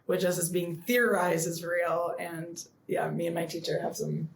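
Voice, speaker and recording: A young woman chats as co-host of a student podcast. Her voice sits a little low and she laughs easily. The room gives a noticeable echo. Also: steady tone, normal speed, creaky. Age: young adult. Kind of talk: casual chat.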